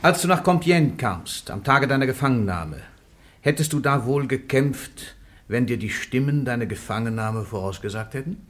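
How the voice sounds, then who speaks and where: A man's voice: medium pitch, expressive, moderate pace, lightly acted. A man playing a stern church interrogator. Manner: insistent, rising to sharp accusations. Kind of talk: radio drama acting.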